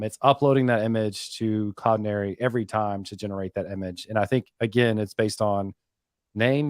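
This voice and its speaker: A man's voice: on the low side, darkish tone, dry recording, studio quality. A man talking casually as a guest developer, explaining technical details. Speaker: guest developer and podcast host.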